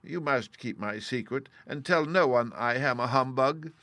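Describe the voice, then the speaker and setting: A man's voice: medium pitch, moderate pace, fairly bright tone, no echo, voice pushed up, close to an everyday voice. A man reading aloud and voicing a meek, apologetic humbug wizard who admits he is no magician. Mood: confiding.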